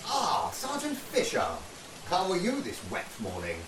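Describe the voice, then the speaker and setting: A man performs as an avuncular, upper-class officer in a period war radio drama. His hearty, old-fashioned speech swings widely in pitch, heard from a distance in an echoey, rainy space. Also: huge pitch swings, unhurried, exaggerated voice. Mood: jovial. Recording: echoey, distant, loud rain noise.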